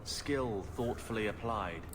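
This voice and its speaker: A man's voice: on the low side, takes it slowly, background noise. An actor playing an earnest young swordsman in a fantasy video game, speaking with restraint.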